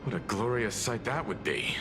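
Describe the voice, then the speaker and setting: Mature scholarly gentleman, played by a man, courteous and measured, mid-pitched, expressive, anime game with music behind. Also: close to an everyday voice. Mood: wistful, earnest. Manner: polite, measured, formal. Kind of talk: anime-style video game voice acting.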